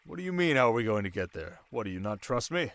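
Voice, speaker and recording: A man playing a young, sharp desert king in an intimate ASMR roleplay, teasing his new wife with measured, quietly commanding speech. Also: medium pitch, big pitch swings, dry recording. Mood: teasing, soft.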